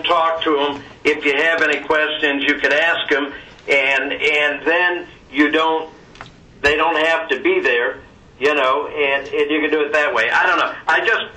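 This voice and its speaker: A man speaking as a board member in a conference call discussion, halting and repeating words, often tagging phrases with "okay". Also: a little high, steady tone, bright, forceful tone. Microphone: telephone. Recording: distant conference call line.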